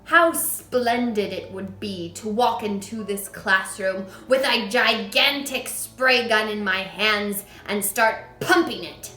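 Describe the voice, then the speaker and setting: A woman playing a booming, furious giant headmistress. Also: slow pace, few pauses, pushed and bright, soft background music. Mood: scornful. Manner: booming, barking, ranting. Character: huge, furious, child-hating headmistress. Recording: echoey room.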